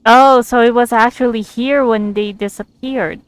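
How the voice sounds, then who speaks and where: A woman's voice: a little high, fairly even intonation, moderate pace, clear, resonant tone, dry recording. A woman giving casual commentary as she plays a video game, musing aloud as she pieces things together.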